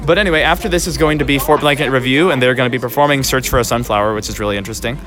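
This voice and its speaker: Guy doing casual podcast interviews on site, talks quick, rambles, music in the background. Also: medium pitch. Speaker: podcast host.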